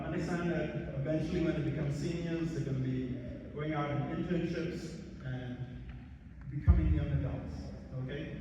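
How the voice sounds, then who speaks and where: A male teacher giving an open-house talk to parents and students, often checking in with quick "okay?" questions and quizzing the room. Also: fairly high pitch, background noise. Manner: keeps checking in with "okay?" and "all right?", quizzes the audience. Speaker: high school teacher presenting to parents and students. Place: school hall or large room. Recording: echoey hall, recorded from far off.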